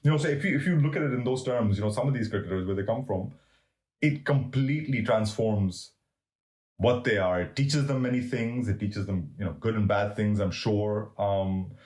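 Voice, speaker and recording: Low-voiced man musing in an echoey room. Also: moderate pace, a little mellow. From Pakistan. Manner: rambling, many restarts and "you know" fillers. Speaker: cricket writer and historian guest. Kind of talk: reflective conversational interview.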